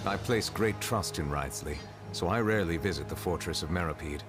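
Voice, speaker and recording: A man's voice: low voice, lightly acted. An actor playing a dignified, reserved male chief judge in a video game, speaking formally and with composure. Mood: composed. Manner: formal, measured wording. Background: game music.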